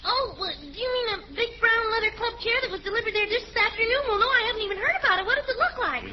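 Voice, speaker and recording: A woman's voice: very high-pitched, animated, racing. An actress playing a flustered, innocent young woman neighbour who chatters on and on in an old radio comedy. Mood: fluttery. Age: young adult. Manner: breathless nonstop chatter. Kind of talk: 1940s radio comedy acting. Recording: noisy muffled old radio recording.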